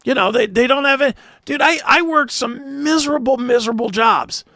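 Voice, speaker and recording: A man's voice: very high-pitched, normal speed, gravelly, bright, forceful tone, no echo, clean studio sound. A middle-aged American man co-hosting a talk show, chatting casually and bluntly with strong opinions and some swearing.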